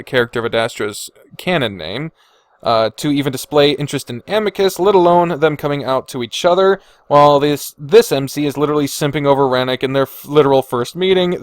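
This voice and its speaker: A man's voice: medium pitch, dry recording. A man hosting a podcast, chatting informally and swearing freely as he reads and reacts to comments. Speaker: podcast host. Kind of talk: casual chat, reading and reacting to viewer comments.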